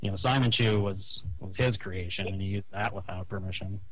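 A man talking on a phone call, airing grievances in a halting, explanatory way. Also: low-pitched. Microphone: telephone call.

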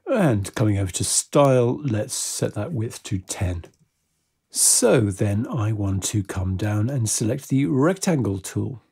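A man presenting a software tutorial step by step, in a methodical, informal way. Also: lowish voice, big pitch swings, a little slow, gravelly, breathy edge.

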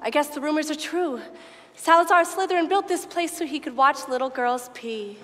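Woman playing gushy confident teen witch, high voice, stagey comedy delivery, echoey hall w music. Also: normal speed, close to an everyday voice. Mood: amazed. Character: self-assured, gushing teenage witch schoolgirl. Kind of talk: comic musical stage reading. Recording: reverberant theatre hall, music behind.

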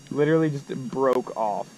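A young man chatting excitedly and casually while fishing, in slangy, exclamatory bursts full of "bro" talk, out on a small open boat. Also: medium pitch. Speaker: angler filming a fishing vlog. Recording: noisy outdoor action-camera audio on water.

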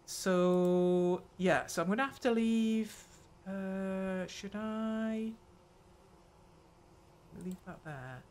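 Unhurried older woman narrating gameplay. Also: medium pitch, a little mellow, a little hiss or hum. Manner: unhurried, muttering thoughts aloud while playing. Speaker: gaming channel host. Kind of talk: let's play commentary.

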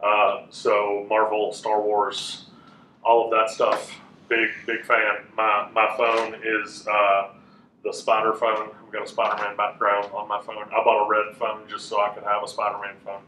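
Loud, booming male teacher in echoey classroom. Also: measured pace, a little hiss or hum. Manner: chatty, relaxed, with many fillers. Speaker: Bible teacher. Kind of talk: casual classroom lecture. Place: classroom with a whiteboard, indoors. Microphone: room mic from a fixed camera. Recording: echoey room, voice a bit far off.

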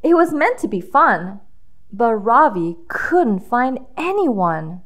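A woman reads a picture book to young children. Her voice sits fairly high and swings expressively up and down. She takes her time, leaning on key words with dramatic emphasis. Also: big pitch swings, slow pace. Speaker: children's storybook reader. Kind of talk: expressive read-aloud storytelling with questions to young listeners.

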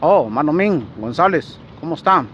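A man's voice: fairly high pitch, expressive, moderate pace, fairly bright tone. Friendly man interviewing outdoors by phone. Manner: friendly, asks question after question. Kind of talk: casual street interview. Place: outdoor parking lot by busy road. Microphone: phone held in his own hand. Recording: dull phone audio, faint traffic.

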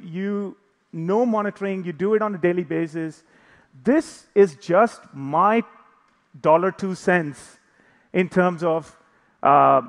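Joking man speaking in a big hall. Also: high-pitched. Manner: jokey, self-deprecating, conversational. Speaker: conference speaker. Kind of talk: conference talk. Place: large conference hall on stage. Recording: reverberant hall.

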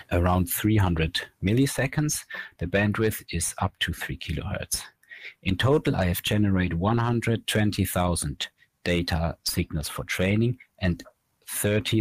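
Man, thirties, deep voice, technical presentation, halting measured explanations, dry close sound. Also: dry recording. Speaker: researcher presenting at an online academy. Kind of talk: technical lecture with slides.

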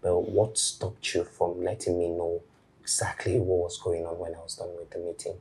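A man's voice: low voice, little pitch movement, normal speed, darkish tone, natural voice, poor audio. A man playing a married company boss who coldly scolds his employee. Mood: stern. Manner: cold, clipped, scolding.

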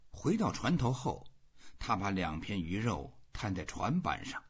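A man reading literary fiction aloud as scripted, measured and expressive storytelling. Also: on the low side, slightly rough. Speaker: audiobook reader. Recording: dull, muffled lo-fi audio.

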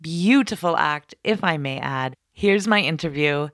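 A woman's voice: deep voice, lively intonation, unhurried, a bit bright, no echo, studio quality. A woman hosting a podcast, chatty and playful, recorded at home in a bathroom. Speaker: podcast host. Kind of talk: casual podcast intro.

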